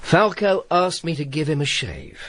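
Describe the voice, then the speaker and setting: A man's voice: on the high side, wildly swooping pitch, moderate pace, fairly bright tone, full character voice. A man playing a world-weary, sardonic Roman informer who narrates and speaks in a radio drama. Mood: dry, wry. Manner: dry, sardonic. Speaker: lead actor and narrator.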